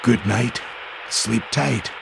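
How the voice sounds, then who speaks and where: A man voicing a sinister, playful, gravelly horror storyteller host with a creepy, theatrical manner. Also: medium pitch, extremely slow, exaggerated voice. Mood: macabre relish. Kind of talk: horror anthology sign-off narration. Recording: noisy recording.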